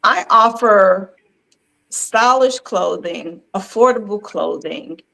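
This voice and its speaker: A woman in her fifties with a New York accent speaks in an unhurried, deliberate way, stacking up earnest affirmations one after another. She talks over a video call, her voice sitting at a middle pitch. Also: creaky, pushed and bright, low-quality recording. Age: 50s. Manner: slow, earnest, affirming repetition. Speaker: resale boutique owner, award finalist. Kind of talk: virtual meet and greet interview.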